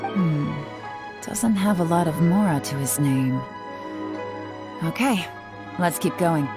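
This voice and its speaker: An actress playing a poised, sly female investigator in a video game, composed, measured and coaxing. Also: lowish voice, lively intonation, over music. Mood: thoughtful.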